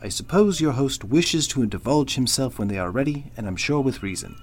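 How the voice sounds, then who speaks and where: Actor as deferential butler, medium-pitched, lively intonation, measured formal manner, slight background noise, radio play. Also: male voice, lightly acted. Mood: formal, apologetic. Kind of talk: golden-age radio drama acting.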